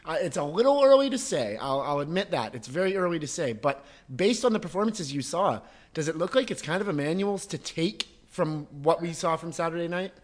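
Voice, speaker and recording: A man with a somewhat high voice hosts a casual podcast. He laughs easily and talks through long, winding questions to his guest. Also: lively intonation, brisk. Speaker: podcast host.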